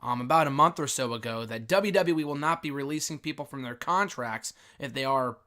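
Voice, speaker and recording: Hoarse-voiced man hosting wrestling news. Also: mid-range voice, fairly quick, bright, forceful tone. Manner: chatty, opinionated, frequent um fillers. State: hoarse from a cold, raspy. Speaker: wrestling news channel host. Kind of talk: casual news commentary to camera.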